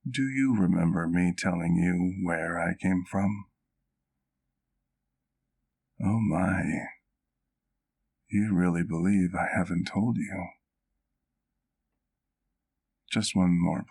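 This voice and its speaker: A man playing a courteous, caring vampire doctor in an intimate ASMR roleplay, speaking formally and with measured, old-fashioned phrasing. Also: deep voice, vocal fry, a little mellow, heavily acted. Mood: concerned. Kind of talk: ASMR roleplay, intimate one-sided dialogue to the listener.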